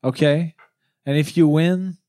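A man's voice: fairly high pitch, fairly even intonation, a little slow, mellow. A man, co-hosting a comedy podcast with hesitant, giggly, casual talk.

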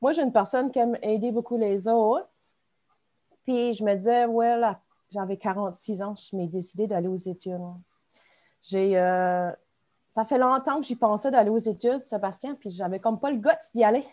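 A woman's voice: medium pitch, steady tone, moderate pace, dark tone. A woman in her forties from the Acadian Peninsula with an Acadian French accent, chatting as a podcast guest in a rambling, self-mocking way. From Acadian Peninsula, New Brunswick. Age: 40s. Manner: chatty, rambling, laughs at herself. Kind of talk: casual interview chat.

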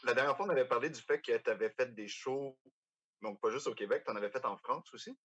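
Chatty Québécois host, dry close sound. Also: male voice, mid-range voice, fairly quick, fairly bright tone, slightly rough, dry recording, dull audio. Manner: chatty, laughs easily, loose slangy phrasing. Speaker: podcast host. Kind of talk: casual interview chat.

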